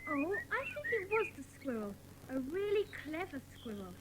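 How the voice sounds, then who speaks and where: Excitable young schoolgirl, very high, animated voice, children's radio-play style, slight background noise. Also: moderate pace. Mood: excited, insistent. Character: chatty, excitable, soft-hearted young schoolgirl of the Secret Seven. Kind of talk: dramatised children's audiobook acting.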